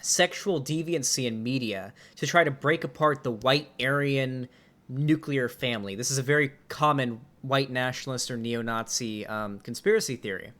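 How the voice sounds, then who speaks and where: A man's voice: mid-range voice, normal speed. A man in his twenties streaming live, talking casually and reacting with exasperated outbursts and swearing as he comments on memes. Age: 20s. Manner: swearing, animated and exasperated, with laughs.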